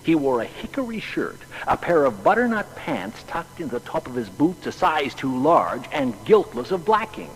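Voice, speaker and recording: A man's voice: fairly high pitch, normal speed, hardly any pauses, low-quality recording. A folksy old telegraph office man spinning a yarn, super swoopy delivery, faint noise behind. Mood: amused recollection. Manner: animated, colloquial. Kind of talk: dramatised anecdotal storytelling.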